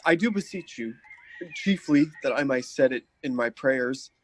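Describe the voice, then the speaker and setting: A man's voice: medium pitch, lively intonation, normal speed, a little hiss or hum, lightly acted. An actor playing an ardent, gallant young nobleman in love in a Shakespeare radio play, earnest and courtly. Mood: gallant pleading.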